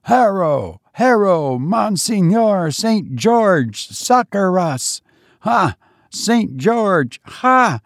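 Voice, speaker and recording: A man's voice: high-pitched, big pitch swings, extremely slow, no echo, studio quality, voice pushed up, heavily acted. A man voicing a host of ghostly medieval bowmen who call out fervent battle cries to their saint.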